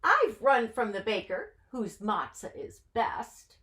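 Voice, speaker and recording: A woman's voice: on the high side, big pitch swings, slow, a bit bright, exaggerated voice. A woman voicing a cheeky, boastful little runaway matzah man from a storybook, chanting in taunting singsong rhyme.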